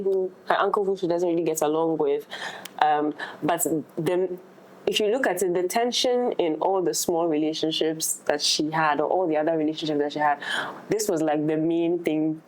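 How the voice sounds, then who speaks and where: A woman talking casually as an actress in a roundtable discussion, halting and repeating words for emphasis. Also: lowish voice, darkish tone, poor audio. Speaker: actress in an audio drama.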